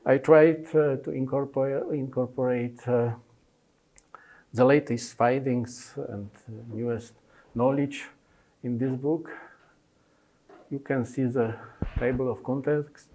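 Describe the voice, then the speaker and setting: A man in his fifties whose first language is Polish, presenting his book with many pauses, fillers and restarts in non-native phrasing. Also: medium pitch, fairly even intonation, mellow, poor audio. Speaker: consultant pediatrician.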